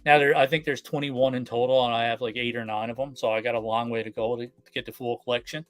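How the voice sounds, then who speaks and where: A man from Canada chatting casually on a live stream, relaxed and full of fillers. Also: mid-range voice, fast, no echo, soft background music. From Canada, Newfoundland. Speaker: guest collector.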